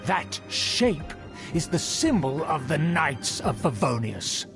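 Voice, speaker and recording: Pompous scheming aristocrat, theatrical and formal, high lively voice, video game villain. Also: male voice, slow pace, gravelly, exaggerated voice. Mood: haughty, boastful. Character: pompous scheming aristocrat man obsessed with clan glory. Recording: game dialogue with music behind.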